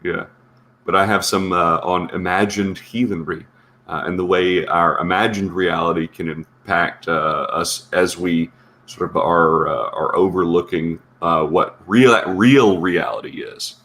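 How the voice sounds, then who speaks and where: A man speaks as a guest on a casual live stream with faint noise behind him. His voice is low, a bit gravelly and congested. He talks haltingly, often circling back to restart a phrase. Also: a bit bright. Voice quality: a little gravelly. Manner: hesitant, halting with repeated starts. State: congested with a sinus infection. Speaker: guest co-panelist, content creator.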